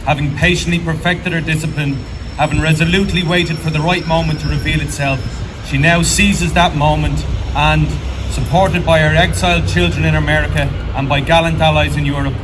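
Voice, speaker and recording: An Irish man delivers a solemn, declamatory ceremonial reading in a fairly high voice. He is picked up from a distance outdoors, with clear city and vehicle noise around him. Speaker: reader at a commemoration ceremony. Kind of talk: formal ceremonial reading of a proclamation. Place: outdoors in a city memorial garden. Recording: distant outdoor mic, loud traffic noise.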